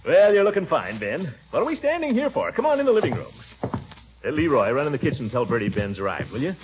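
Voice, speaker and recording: A blustery, pompous middle-aged uncle. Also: male voice, very animated, fast talker, music in the background. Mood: hearty. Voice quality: booming, with chuckling laughs. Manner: hearty and bossy, stretches out his words. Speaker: head of the household. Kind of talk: 1940s radio comedy acting. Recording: dull 1940s radio broadcast.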